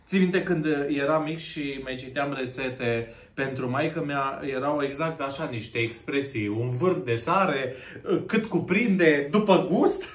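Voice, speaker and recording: A man in his thirties hosting a playful pretend cooking show, laughing a lot and stretching out his gushing praise. His voice sits a little high for a man. He is recorded by a camera a few feet away in an echoey room at home. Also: sing-song. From Romania. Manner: jokey, laughs often, drawn-out gushing words; busy with his hands while talking. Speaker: host of a plant arranging show. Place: home room with plant wallpaper.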